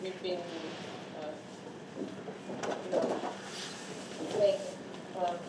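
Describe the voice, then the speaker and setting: A woman artist with a Korean accent explaining her work in halting, broken phrasing. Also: on the low side, fairly even intonation. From South Korea. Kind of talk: casual explanation. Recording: roomy, distant, noisy.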